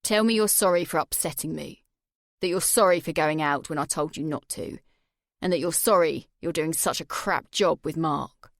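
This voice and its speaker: A woman's voice: moderate pace, no echo, studio quality. A woman voicing a cold, menacing man. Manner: low, menacing, clipped demands. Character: cold controlling abusive adult man.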